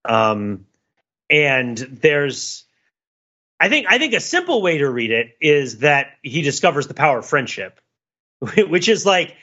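A man's voice: fairly high pitch, big pitch swings, unhurried, bright, forceful tone, husky, highs cut off. A man co-hosting a podcast, talking through a film in a casual, rambling, analytical way. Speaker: podcast co-host.

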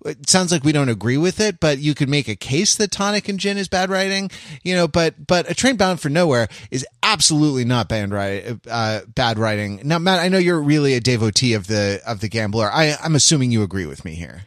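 A man's voice: mid-range voice, lively intonation, fairly quick, a bit bright, dry recording, clean studio sound. A man co-hosting a podcast, chatting casually and riffing with mock indignation about pop culture. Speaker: podcast co-host.

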